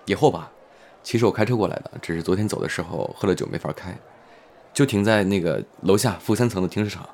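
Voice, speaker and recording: A man acting a calm, modest actor and mentor in an audio drama, speaking in a mild, reserved, reassuring way. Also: sits low, fast, gravelly, slight background noise. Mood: polite, tired.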